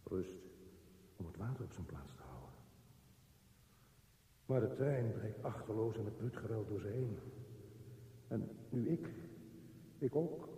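An actor playing a frightened, brooding man lost in a dark tunnel, in a halting radio play monologue. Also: on the low side, expressive. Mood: brooding, uneasy. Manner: halting, fragmented, intense interior monologue. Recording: echoey reverberant tunnel sound, faint hiss.

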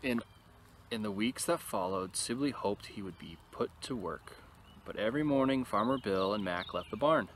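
A man reading a picture book aloud expressively to young listeners, with casual, quieter asides, recorded outdoors. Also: on the low side, expressive, normal speed. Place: outdoors in an open field. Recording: noisy outdoor recording with ambient sound.

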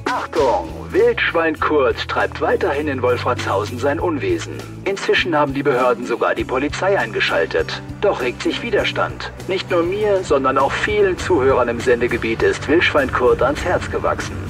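A man plays an excited local radio announcer in an audio drama. He reads the bulletin with big swings of pitch over loud music. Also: moderate pace, hardly any pauses, lightly acted. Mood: urgent, dramatic.

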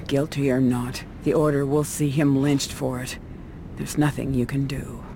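Casting: worried female ally, deep voice, game drama, noisy background. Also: little pitch movement, measured pace, warm tone. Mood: resigned, concerned. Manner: worried, insistent. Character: anxious, serious woman, the investigator's concerned ally. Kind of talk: video game cutscene acting.

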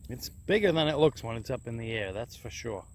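A man's voice: mid-range voice, animated, fairly quick, a little hiss or hum. A man giving a plain-spoken, casual step-by-step narration of a hands-on DIY project as he films it. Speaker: DIY hobbyist video host.

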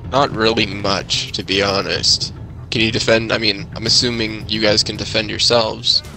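A man's voice: fairly low pitch, little pitch movement, moderate pace, vocal fry, music in the background, rough recording. A man improvising as a firm, guarded leader of a survivor settlement in a zombie game skit. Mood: matter-of-fact. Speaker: player in a roleplay skit. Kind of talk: improvised game roleplay dialogue.

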